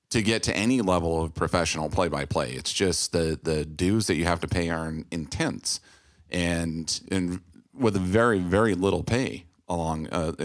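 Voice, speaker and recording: A man co-hosting a casual interview, talking in a halting, wordy, reflective way. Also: low voice. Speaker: interviewer, co-host.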